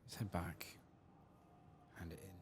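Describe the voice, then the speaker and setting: A soft-spoken man murmuring ASMR commentary over a video game playthrough, musing to himself and sometimes dropping toward a whisper. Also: unhurried, noisy background.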